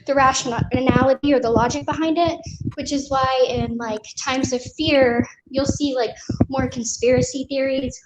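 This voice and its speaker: A woman with a high voice takes part in a casual group discussion. She explains things in an articulate, measured way. Her audio carries a clear hiss of background noise. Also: fairly even intonation. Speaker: discussion participant.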